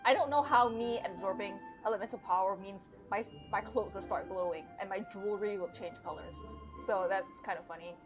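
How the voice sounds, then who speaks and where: A woman's voice: mid-range voice, a little fast. A young woman giving casual, joking game commentary as a streamer, on a slightly dull lo-fi microphone. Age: young adult. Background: game music.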